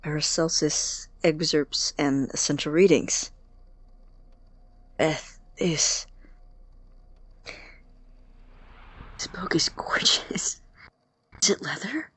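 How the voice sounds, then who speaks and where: A man acting in an audio drama as an awkward, earnest, apologetic college student who stammers and speaks softly. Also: fairly high pitch, slow pace, pauses often, no room sound, whispered. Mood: awed, moved. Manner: hesitant, stammering, soft and breathy when sincere.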